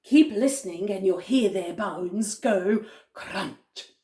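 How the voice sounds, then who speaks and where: A woman voicing an enormous greedy crocodile, wicked and sly, with a slow, drawn-out, menacing delivery in a children's story. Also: mid-range voice, dark tone, natural voice. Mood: gloating, sly.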